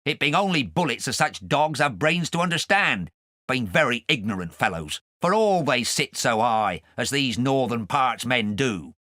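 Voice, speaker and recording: A man's voice: mid-range voice, very animated, moderate pace, pushed and bright, no room sound, studio quality. A man voicing a self-righteous, rambling sailor with a grudge, telling his confession in an audiobook. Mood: sanctimonious grievance. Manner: sanctimonious, aggrieved, rambling. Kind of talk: audiobook character narration.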